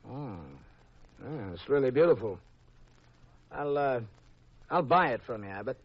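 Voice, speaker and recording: Husky, menacing radio gangster. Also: male voice, mid-range voice, animated, a little slow, no echo. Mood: insistent, threatening. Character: menacing male gambling boss, falsely polite. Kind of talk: 1950s radio mystery acting. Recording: muffled old radio recording.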